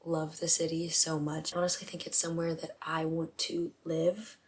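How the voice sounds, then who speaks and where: A woman in her twenties chatting casually to her vlog camera in a hushed, half-whispered voice at home at night. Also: low-pitched, completely flat delivery, normal speed. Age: 20s. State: voice rough from not talking. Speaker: vlogger.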